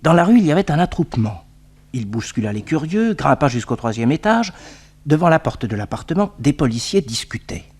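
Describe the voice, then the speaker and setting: A man's voice: fairly high pitch, sing-song, a little fast, darkish tone, breathy edge. A man narrating a radio drama in a scripted storytelling style.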